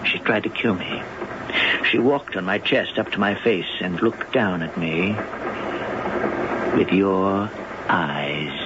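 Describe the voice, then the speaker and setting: A man acting in a radio drama as a grave, shaken professor, halting and husky, on an old radio recording. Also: sits low, dull audio, noisy background. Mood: cold, grave. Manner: halting, repeats words. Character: shaken, grave male professor, bitterly resolute.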